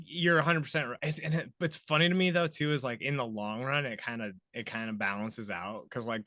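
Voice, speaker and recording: A man's voice: mid-range voice, bright, forceful tone, dry recording. A man in his thirties hosting a podcast, chatting informally and thinking aloud as he goes. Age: 30s. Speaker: podcast host. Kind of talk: casual conversational interviewing.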